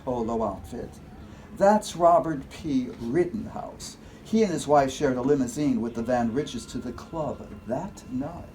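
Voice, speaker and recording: A man's voice: mid-range voice, expressive, measured pace, dark tone, slight background noise, lightly acted. A man playing a servile, evasive club employee in a comic noir radio play, formal and stammering. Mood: nervous, polite. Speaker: actor.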